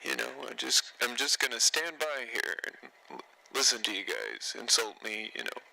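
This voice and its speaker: A man's voice: medium pitch, wildly swooping pitch, normal speed, pushed and bright. A man in casual, joking radio chat as a CB operator, teasing and mocking others. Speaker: CB radio operator on a live feed. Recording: noisy live radio feed, clear hiss.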